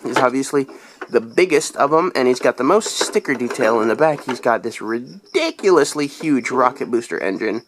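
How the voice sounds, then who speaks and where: A young man who has been sick, his voice deeper than usual, rambling through a casual toy review with lots of "uh"s. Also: expressive, normal speed, background noise. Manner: rambling, chatty, lots of fillers.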